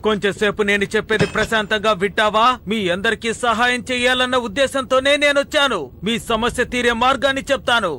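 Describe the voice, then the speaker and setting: A man's voice: very high voice, fairly even intonation, quick pace, hardly any pauses, sharp tone, a little hiss or hum. A man playing a proud, resentful young dragon racer in a dramatic cartoon dub, boastful and defiant. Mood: confident, scheming.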